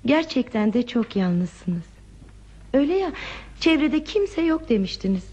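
A woman playing a curious, romantic visitor in a radio play, with expressive, dreamy, theatrical phrasing. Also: a little high, a little slow, highs cut off. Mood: sympathetic, inquisitive. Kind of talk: Turkish radio theatre acting.

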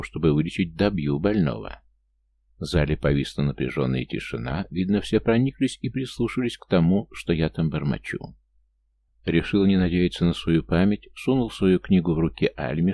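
Deep gravelly male audiobook narrator. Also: dark tone. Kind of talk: scripted first-person fantasy narration.

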